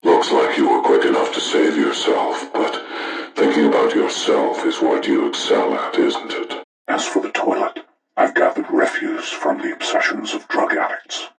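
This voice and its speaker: An actor playing a cold, menacing older male serial killer who delivers deliberate, ominous, moralizing monologues in a breathy, gravelly rasp for a horror video game. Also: very deep voice, roomy sound, low-quality recording. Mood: mocking.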